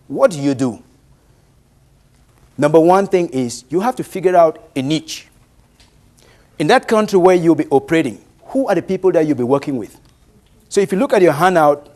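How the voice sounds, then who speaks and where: A man in his late thirties with a Cameroonian accent, a native speaker of a Bantu language, presenting to an audience in a deliberate, emphatic way. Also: fairly high pitch, darkish tone. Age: late 30s. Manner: deliberate, emphatic, uses rhetorical questions.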